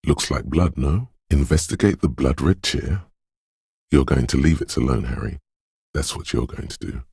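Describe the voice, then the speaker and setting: An actor playing a brooding, dreamlike inner voice of intuition in a video game, narrating slowly, solemnly and ominously in poetic second person. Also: male voice, rumbling low, lively intonation, vocal fry, warm tone, breathy edge, no echo, studio quality, full character voice. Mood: uneasy, warning.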